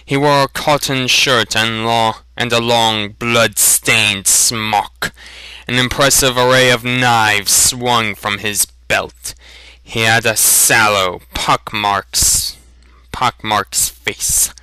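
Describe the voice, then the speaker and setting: A man narrates a story with deliberate, expressive delivery. His voice is bright and smooth at an average male pitch, and he takes his time over the phrases. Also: no echo, low-quality recording. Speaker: reader of a fan dramatic reading.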